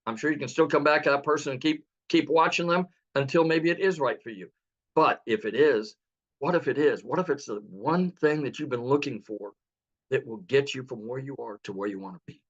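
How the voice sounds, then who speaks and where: A man giving an earnest, persuasive webinar testimonial over a video call. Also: brisk. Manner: earnest, persuasive sales pitch. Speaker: guest speaker. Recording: muffled lo-fi online call audio.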